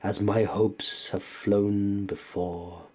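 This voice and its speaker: A man reading Gothic poetry as a dramatic recitation, brooding and theatrical, with deliberate pauses. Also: on the low side, flat delivery, a little mellow, slightly rough. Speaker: poetry reader.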